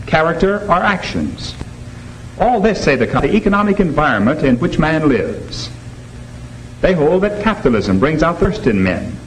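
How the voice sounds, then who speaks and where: A man lecturing formally and emphatically as a teacher in a 1950s educational film, on a noisy old soundtrack. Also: fairly high pitch. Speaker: teacher leading a classroom discussion.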